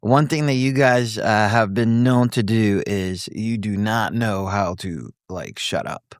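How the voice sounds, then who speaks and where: A man's voice: lowish voice, slow pace, dry recording, clean studio sound. A man hosting a radio interview show, loose and joking, often stumbling over his words.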